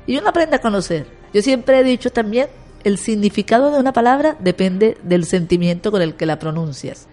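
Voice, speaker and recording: A woman's voice: on the low side, warm tone. A woman, a guest in an interview, talking in an earnest, upbeat way and explaining at length. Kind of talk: conversational interview.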